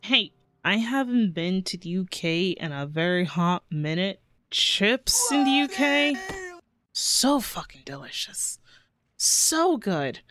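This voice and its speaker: A woman's voice: mid-range voice, sing-song, takes it slowly, a bit bright, no echo. A woman streaming a video game, giving casual, chatty commentary and swearing now and then. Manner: chatty, swears, laughs often.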